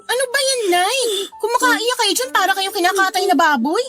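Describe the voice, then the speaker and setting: Casting: defiant Filipino big sister for radio drama, shrill-high, lively swings, snappy. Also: female voice, brisk, over music. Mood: scornful. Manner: snappy, sarcastic, dismissive. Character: brash, scornful, stubborn young mother and elder sister.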